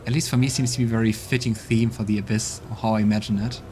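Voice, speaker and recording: A man's voice: lowish voice, level tone, fast, mellow, a little hiss or hum. A man hosting a role-playing game let's play, chatting casually and musing aloud as he plays. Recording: game music playing behind.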